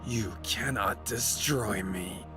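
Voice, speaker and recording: A man's voice: lowish voice, slow. A man voicing a cold, taunting darkness that possesses a young man, half-whispered and menacing, in a game fan dub. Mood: cold menace. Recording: very loud music over the voice.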